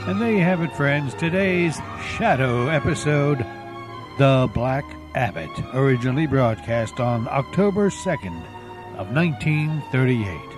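A man's voice: mid-range voice, lively intonation, unhurried. A man hosting an old-time radio program, chatting in a folksy way to his listeners. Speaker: host of old-time radio show podcast. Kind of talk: chatty scripted-feeling hosting. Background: music.

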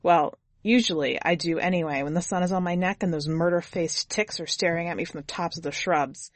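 Casting: female reader as sardonic young hitchhiker, slightly low voice, blunt and jaded, dry studio sound. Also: fairly even intonation, brisk, no echo, lightly acted. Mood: wry, jaded. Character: jaded, foul-mouthed twenty-year-old drifter hitchhiker. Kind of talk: first-person audiobook narration.

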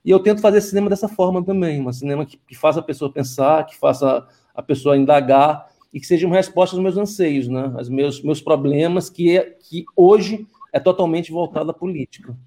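A man's voice: fairly high pitch, fairly quick, hardly any pauses, dark tone. A man from in Brazil, a filmmaker guest speaking earnestly and reflectively in an interview, often tagging his sentences with "né?". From Maranhão, Brazil. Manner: earnest, frequent "né?" tag.

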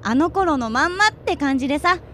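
A woman's voice: high-pitched, expressive, a little fast, a bit bright, slight background noise. An actress playing a friendly, forward, sporty woman in her twenties in a radio drama, chatting casually and directly. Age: 20s.

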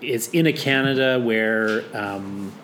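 Brief: male interviewer, halting and filler-heavy, faint hiss in the room. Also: medium pitch, a bit bright. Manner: hesitant, many fillers and laughs. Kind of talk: conversational interview.

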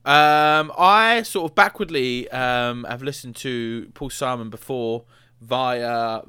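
A man's voice: medium pitch, bright, forceful tone, smooth, no room sound, studio quality. A man co-hosting a podcast, chatting casually in a playful, teasing way and reading out an album review. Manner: playful, teasing, quick to laugh. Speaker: podcast co-host. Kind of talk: casual chat.

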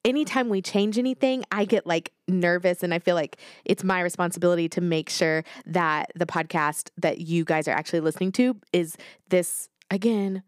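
A woman co-hosting a podcast in casual chat, animated and reflective, thinking aloud with fillers. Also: lowish voice, normal speed, few pauses, no room sound, studio quality. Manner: animated, reflective, talks things through with fillers. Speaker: podcast co-host.

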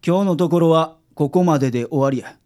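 A woman's voice: low-pitched, fairly quick, mellow, close to an everyday voice. A woman playing a blunt, laid-back male private detective with a Kansai accent in a radio drama. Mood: blunt, offhand. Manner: blunt, offhand.

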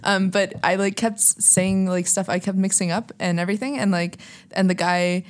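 A woman's voice: medium pitch, fairly even intonation, normal speed. A young woman from Canada, a podcast guest chatting casually and filling her speech with "like.". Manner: chatty, leans heavily on "like" as filler. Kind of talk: casual chat.

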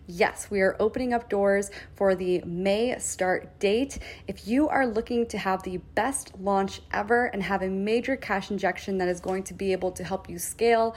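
A woman podcast host reading an excited, bubbly promo for her course from her new home. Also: moderate pace, few pauses. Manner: bubbly, excited sales pitch. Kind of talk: upbeat self-promotion read.

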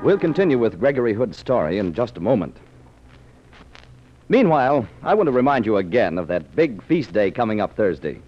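A man's voice: lowish voice, big pitch swings, fairly quick, a little hiss or hum. A man announcing in 1940s radio style, with enthusiastic salesmanship. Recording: muffled 1940s radio recording.

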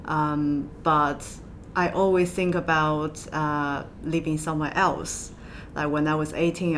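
A woman from southwest China with a Chinese accent, answering interview questions in halting, hesitant phrases. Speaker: podcast guest, accountant. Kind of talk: interview conversation. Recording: low rumble and background noise.

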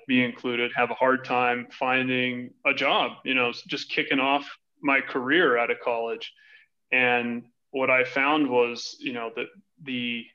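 A man's voice: medium pitch, sharp tone, dull audio. A man in his thirties from Hawaii with an American accent, answering conversationally as a podcast interview guest. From Hawaii, United States. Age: 30s. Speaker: podcast guest, financial advisory director.

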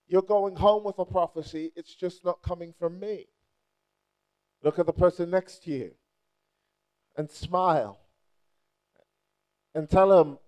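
A man's voice: sits high, darkish tone, clear, resonant tone, low-quality recording. A young adult man from Nigeria preaching a playful, charismatic sermon and rousing the crowd with call-and-response.

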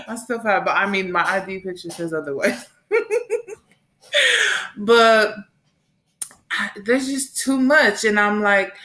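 An American woman in her late twenties, a mother and podcast host, talking through her week in a rambling, animated solo chat and laughing often. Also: medium pitch, slow, pauses often. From United States. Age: late 20s. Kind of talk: casual solo monologue.

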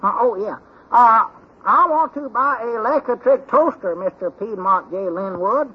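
An actor playing a folksy, muddle-headed and excitable country man with a Southern US accent in an old-time radio comedy. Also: very high voice, a little slow, slightly rough, full character voice. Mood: halting, eager. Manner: folksy, easily distracted, rambling. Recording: muffled, worn old radio recording.

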